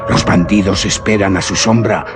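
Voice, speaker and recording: An actor playing a grave, solemn storyteller of an old devil legend in suspense radio theatre. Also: male voice, low-pitched, fairly even intonation, close to an everyday voice. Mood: grave, ominous. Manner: solemn, deliberate, dramatic. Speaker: narrator. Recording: background music under radio narration.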